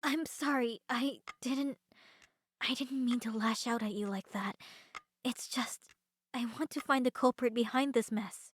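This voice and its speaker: Woman acting an earnest, higher-voiced troubled heroine. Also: steady tone, measured pace, a little hiss or hum, close to an everyday voice. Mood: apologetic. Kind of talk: visual novel character voice reading.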